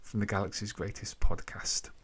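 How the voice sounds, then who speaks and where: A man hosting a podcast, giving an informal introduction in a halting way, thinking aloud between phrases. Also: on the low side, level tone, a bit bright, slightly rough. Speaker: podcast host.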